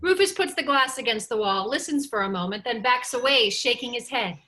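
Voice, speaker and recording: A woman's voice: a little high, lively intonation, a little fast, bright, forceful tone. A woman narrator reading stage directions at a script table read.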